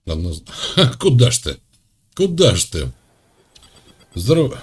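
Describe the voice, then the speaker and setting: A man from Russia hosting a chat, needling and mocking the person he talks to in a deadpan way. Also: medium pitch, slow pace, slightly rough. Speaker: host. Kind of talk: mocking video-call chat.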